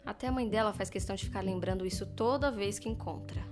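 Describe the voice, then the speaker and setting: A woman's voice: medium pitch, quick pace, a little mellow, lightly acted. An actress playing a gossipy, worrying, sympathetic inner voice, a Brazilian woman chatting fretfully in an audio drama. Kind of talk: conversational audio drama acting. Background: music.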